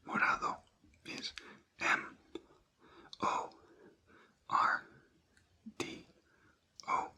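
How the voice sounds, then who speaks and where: A language teacher giving an ASMR vocabulary lesson in a breathy whisper, repeating words and spelling them out letter by letter. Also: sharp tone.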